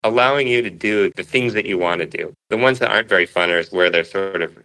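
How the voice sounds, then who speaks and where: Guy being interviewed, deep but bright voice, explains stuff thoughtfully, conversational. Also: fast talker, rough recording. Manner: thoughtful, explains through analogies. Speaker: interview guest, cartoonist and author.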